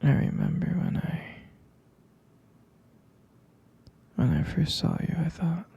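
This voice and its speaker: A man playing a sleepy, affectionate half-vampire boyfriend in an intimate ASMR roleplay, murmuring softly and haltingly in a breathy, whispery voice. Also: fairly high pitch, huge pitch swings, heavily acted. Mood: tender, reminiscing. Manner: drowsy, halting, murmured endearments.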